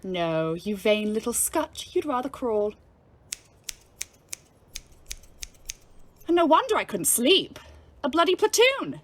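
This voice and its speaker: An actress playing a brash, bawdy young ambulance driver, fearless and sardonic, in a period audio play. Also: female voice, fairly high pitch, sing-song, moderate pace. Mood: indignant, mocking. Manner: swaggering, sardonic, theatrical. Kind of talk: period audio drama acting.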